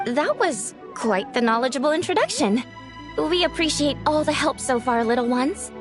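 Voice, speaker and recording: High-voiced, polite, hesitant spirit girl. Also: expressive, normal speed, over music. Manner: courteous, hesitant. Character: polite, gentle, slightly flustered young female dream-eater spirit. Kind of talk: anime-style video game voice acting.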